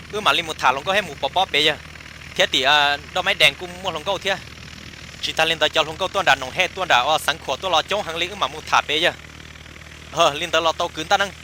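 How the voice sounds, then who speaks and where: A man's voice: a little high, fast talker, pushed and bright, a little hiss or hum. A man performing casual, chatty comic dialogue for a cartoon.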